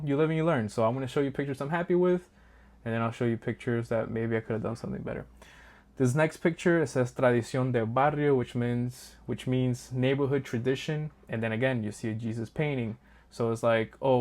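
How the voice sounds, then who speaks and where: Relaxed, rambling man with a mid-range voice. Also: medium pitch. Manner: relaxed and rambling, many ums and restarts. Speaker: street photographer and channel host. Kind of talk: casual talk over a photo slideshow.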